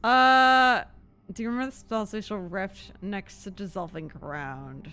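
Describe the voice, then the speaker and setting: A woman chats casually on a live game stream. Her delivery is lively and very varied in pitch, giggly and talkative as she thinks out loud. Faint music plays behind her. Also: a little slow, smooth. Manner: giggly, chatty, thinking aloud.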